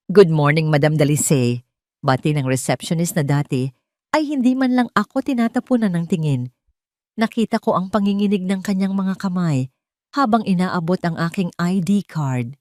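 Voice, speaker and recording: A woman with a lowish voice narrates a scripted first-person drama. Her delivery is solemn and deliberate, and the sound is dry with very little room around it. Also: a little mellow, no echo. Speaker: audio story narrator.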